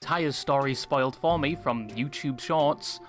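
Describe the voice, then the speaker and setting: A man's voice: mid-range voice, measured pace, fairly bright tone, faint music behind. A man reading scripted review narration for a video essay, with a sardonic, teasing manner. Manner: sardonic, joking insults at the viewer.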